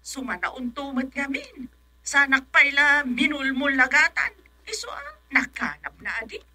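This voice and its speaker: An actress playing a blunt, complaining village wife with an Ilocano accent and emphatic delivery in a comedic radio drama. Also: female voice, sits high, expressive, measured pace, sharp tone, no echo, heavily acted. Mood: indignant.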